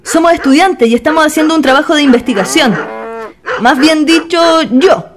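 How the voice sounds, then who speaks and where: A woman's voice: high-pitched, moderate pace, crowd noise, close to an everyday voice. A woman playing a polite, keen young history student in an educational radio drama.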